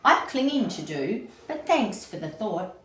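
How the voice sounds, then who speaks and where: A woman's voice: mid-range voice, sing-song, measured pace. A woman voicing a timid, stubborn male koala in a children's storybook, hesitant and anxious. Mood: hesitant, apologetic. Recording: roomy, echoey, distant mic with faint noise.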